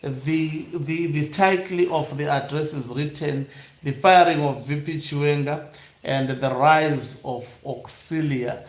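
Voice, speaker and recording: Echoey, higher-pitched man, halting host. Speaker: online news channel host. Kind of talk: reading a document aloud and live filler commentary. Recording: echoey room.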